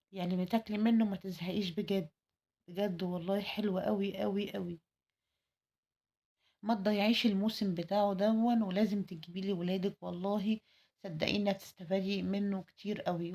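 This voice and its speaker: A woman's voice: medium pitch, vocal fry. A woman with an Egyptian Arabic accent in a chatty, effusive cooking vlog, her voice tired, repeating words for emphasis. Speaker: home cooking vlogger.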